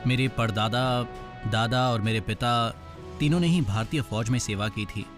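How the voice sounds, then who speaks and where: Brief: male cadet, calm, mid pitch, music clearly under. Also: normal speed. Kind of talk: interview.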